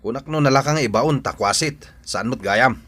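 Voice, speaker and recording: A man's voice: mid-range voice, normal speed, fairly bright tone, natural voice. A man with an Ilocano accent playing a bossy, taunting young village bully in an audio drama. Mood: scheming, irritated.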